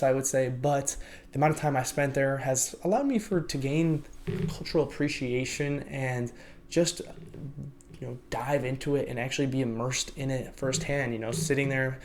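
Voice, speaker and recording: A young man raised in the United States, whose first language was Lithuanian, talks through his own life story to the camera. His pitch sits in an ordinary middle range, and his delivery is loose and reflective, full of "you know" and "I would say" as he hunts for the right word. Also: darkish tone, a little hiss or hum. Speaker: vlogger. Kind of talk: personal life story, unscripted.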